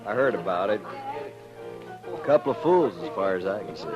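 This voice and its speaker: A man's voice: lowish voice, big pitch swings, fairly quick. A man playing a cocky, easygoing cowhand in a 1950s radio western, with a folksy, offhand way of talking. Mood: defiant. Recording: dull old radio recording, loud music.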